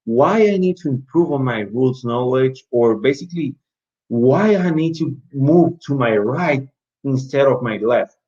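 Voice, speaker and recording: A man's voice: medium pitch, expressive, a little slow, warm tone, muffled recording. A man with a Latin American Spanish accent giving an informal talk to referees over a video call, with many restarts, asking and answering his own questions. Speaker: basketball referee speaker in an online session.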